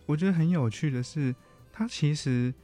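A man's voice: on the high side, moderate pace, mellow, soft background music. A man with a Taiwanese accent talking reflectively as a guest counselor in a casual interview, often rephrasing his points.

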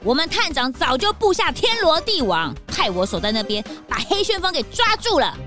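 A woman's voice: high-pitched, normal speed, over music. A woman voicing an eager, proud young raccoon helper with a childlike voice in a children's audio drama. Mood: triumphant. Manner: excitable, indignant, boastful.

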